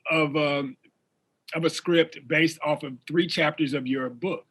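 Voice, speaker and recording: A man hosting a conversational interview, phrasing his questions in a halting, searching way. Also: a little high, fairly even intonation, fairly bright tone, slightly rough. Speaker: talk show host, interviewer.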